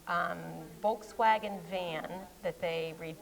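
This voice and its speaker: A woman's voice: fairly low pitch, fairly even intonation, slow, vocal fry. A woman co-hosting a local library show, chatting casually about books and trailing off mid-word, with an American accent. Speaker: co-host, children's librarian. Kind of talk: casual chat. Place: public library.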